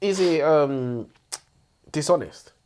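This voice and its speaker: Man, lively, probing co-host. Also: a little high, slow. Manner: probing, questioning devil's advocate. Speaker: book review podcast co-host. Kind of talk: casual book discussion and debate.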